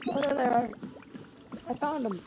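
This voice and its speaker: A woman's voice: high-pitched, normal speed. A woman streaming a game, chatting casually and rambling, her voice worn from losing it. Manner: chatty, rambling, laughs often. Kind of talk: casual live gameplay chat. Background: game music.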